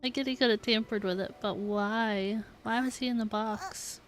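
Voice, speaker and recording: A woman's voice: fairly high pitch, fairly even intonation, moderate pace, background noise. A woman streaming a game with casual live commentary, thinking aloud in a giggly, puzzled way. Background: soft game music.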